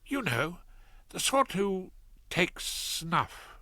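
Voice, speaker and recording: Man doing a young woman lawyer, teasing, mock-sweet, high, lively, dry close sound. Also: extremely slow, dry recording, exaggerated voice.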